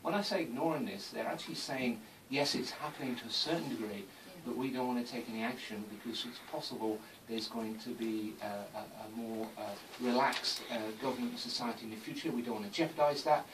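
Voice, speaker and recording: A man's voice: mid-range voice, brisk, few pauses. A man speaking as a radio interview guest, explaining emphatically and repeating phrases as he goes. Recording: distant mic, clearly noisy background.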